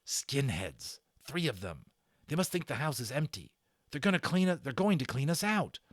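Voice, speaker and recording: A man's voice: mid-range voice, very animated, normal speed, dry recording, studio quality, pitched above the performer's own voice. Man playing a bossy, urgent boy. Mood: alarmed, resolute. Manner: urgent, commanding, terse. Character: bossy, determined boy leading a secret battle.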